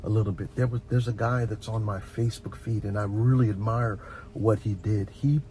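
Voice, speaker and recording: A middle-aged man giving an informal, heartfelt advice monologue as a host, speaking earnestly and reflectively, often halting and repeating words. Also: lowish voice, steady tone, mellow, a little hiss or hum. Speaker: host of a relaxation and advice channel.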